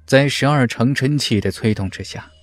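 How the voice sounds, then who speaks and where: A man's voice: medium pitch, moderate pace, faint music behind. A man narrating a fantasy martial arts audiobook in a dramatic, scripted style.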